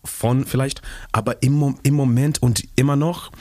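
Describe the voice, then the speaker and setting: A man's voice: mid-range voice, fairly even intonation, darkish tone, no room sound, studio quality. Shona-speaking man searching for words. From Zimbabwe. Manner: halting, searching for words, frequent restarts, laughs often. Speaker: musician guest. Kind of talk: interview answers in learner German mixed with English.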